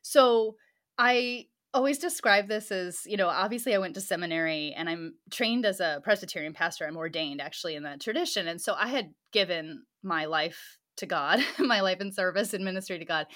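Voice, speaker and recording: A woman's voice: lowish voice, moderate pace, fairly bright tone. A woman who is a pastor and scholar, answering interview questions thoughtfully and often laughing lightly between phrases.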